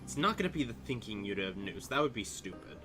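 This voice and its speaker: A man streams a story game and comments casually as it plays. His voice sits fairly low, with music clearly heard behind him. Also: sing-song, fast talker. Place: indoors, voice over game audio.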